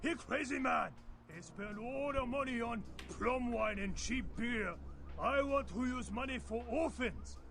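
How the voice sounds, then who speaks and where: High, creaky Chinese gangster. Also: male voice, a little slow, a bit bright, full character voice. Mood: bitter, bargaining. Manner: broken English, indignant. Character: excitable, bitter Chinese gangster, greedy and self-righteous. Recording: music behind the voice.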